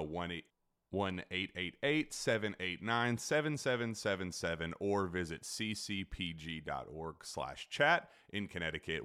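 Male disclaimer announcer, low register, clean resonant tone, scripted read. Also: fairly bright tone, clear, resonant tone.

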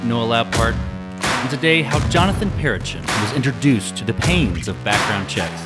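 A man hosting a podcast, reading a smooth scripted intro and asking interview questions. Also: mid-range voice. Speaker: podcast host.

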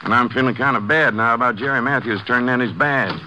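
A man's voice: mid-range voice, fast, natural voice. An American man playing a folksy, neighborly small-town sheriff in a 1950s radio western. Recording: noisy old radio recording, clicks.

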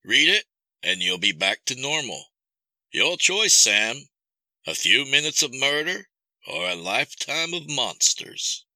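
A man plays a jilted husband turned villain in a horror tale. The voice is raised and swooping, with a taunting, coldly amused calm that promises cruelty. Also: medium pitch, unhurried, dry recording, pitched above the performer's own voice, exaggerated voice, rough recording. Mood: cold menace. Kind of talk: audio horror story character acting.

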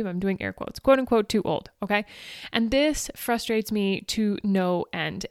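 A woman's voice: mid-range voice, no echo, clean studio sound. A woman in her forties hosting a motivational podcast, talking chattily and upbeat to her listeners, laughing often. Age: 40s. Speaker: podcast host. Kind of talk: motivational solo podcast talk.

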